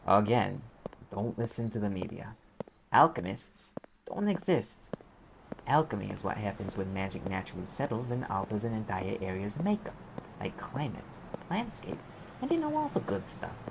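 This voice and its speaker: A man's voice: fairly low pitch, very animated, moderate pace, vocal fry, background noise. A man playing a sarcastic, impatient private eye mage in an audio roleplay, explaining things in a conversational, exasperated way. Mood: lecturing, sardonic. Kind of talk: audio roleplay acting, one side of a dialogue.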